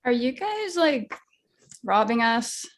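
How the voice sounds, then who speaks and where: A woman's voice: a little high, sing-song, slow pace. An actress reading a sardonic, unimpressed young woman at a horror film table read.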